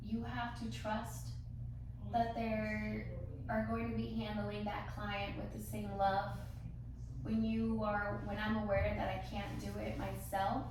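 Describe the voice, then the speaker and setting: Woman, 30s, unhurried and thoughtful interviewee, recorded far from the mic in a very echoey, noisy room. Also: medium pitch. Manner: slow, thoughtful, halting. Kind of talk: interview answers.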